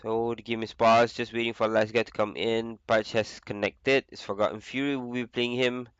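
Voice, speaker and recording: Young man, flu, casual game caster, smooth, dry, muffled sound. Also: medium pitch, little pitch movement, fairly bright tone, dry recording. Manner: casual, easygoing, with filler pauses. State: sick with flu. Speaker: esports caster. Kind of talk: solo game commentary.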